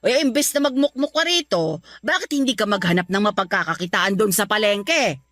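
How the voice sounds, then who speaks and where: A woman's voice: mid-range voice, expressive, brisk, a bit bright, no echo, lightly acted. A woman playing a blunt but caring Filipina grandmother and mother, scolding and exclamatory, in a Tagalog radio drama. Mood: scolding, reproachful. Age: older.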